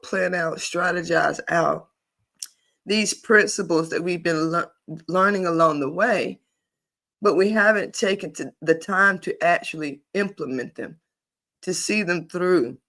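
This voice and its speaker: High-pitched guy on a computer mic, running a live spiritual group session, emphatic and folksy, lots of repeats and little laughs. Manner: animated and emphatic, with easy laughs and rhetorical questions; repeats and restarts while building a point, informal and folksy. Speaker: host of a paid spiritual membership group. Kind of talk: casual spiritual talk on a live online session.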